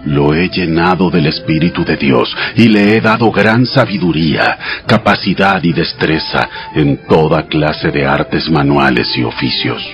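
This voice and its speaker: The Almighty in an audio Bible drama. The voice is deep and grave, speaking with solemn authority as he gives commands. Soft music runs behind it. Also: expressive, measured pace, few pauses, dull audio, exaggerated voice. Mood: solemn, instructing.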